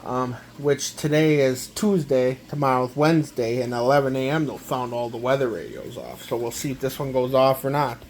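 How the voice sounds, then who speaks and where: A man gives a casual, halting, step-by-step product walkthrough, often repeating himself. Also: mid-range voice, a little hiss or hum. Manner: halting, repetitive, step-by-step explaining. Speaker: amateur gadget demonstrator.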